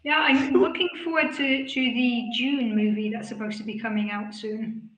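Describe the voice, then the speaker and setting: A woman's voice: a little high, steady tone, normal speed. A woman with a British accent coloured by German, chatting casually as a panelist on a livestream. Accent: British with German influence. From Britain. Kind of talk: casual chat. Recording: roomy echo.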